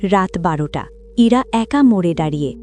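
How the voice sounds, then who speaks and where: A woman's voice: lowish voice, expressive, moderate pace, warm tone. A woman reading a scripted, dramatic story as its narrator. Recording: music bed behind voice. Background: music.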